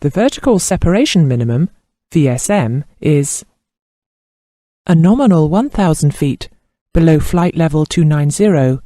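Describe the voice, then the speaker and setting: A man reading scripted instructional narration as a training narrator, in a formal, measured and precise manner. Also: on the high side, dark tone, no echo, clean studio sound.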